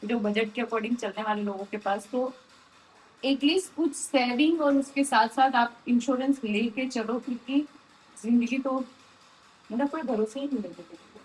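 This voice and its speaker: A woman speaks in a high voice, casual and earnest. She circles back to rephrase what she says. Some ambient noise sits behind her. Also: moderate pace. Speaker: daily vlogger. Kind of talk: casual candid conversation. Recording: clearly noisy ambient background.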